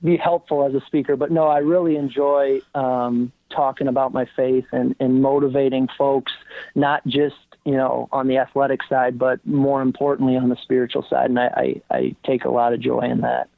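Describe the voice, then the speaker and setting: A man's voice: medium pitch, level tone, normal speed, vocal fry, no room sound. Earnest man on a tinny phone line. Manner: earnest, with frequent ums and restarts. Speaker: college basketball coach, guest. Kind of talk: casual interview talk.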